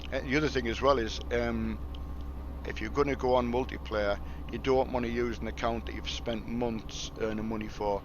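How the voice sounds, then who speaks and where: A man chatting casually in a rambling, halting way as he livestreams a driving game. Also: steady tone, lo-fi sound. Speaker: game streamer. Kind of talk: casual livestream chat while playing a truck game. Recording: loud vehicle engine rumble behind. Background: truck game engine and road noise.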